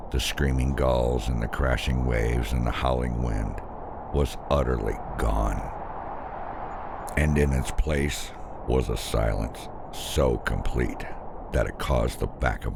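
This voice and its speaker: A man narrating a scripted first-person horror story in an ominous, deliberate way. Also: rumbling low, creaky, background noise.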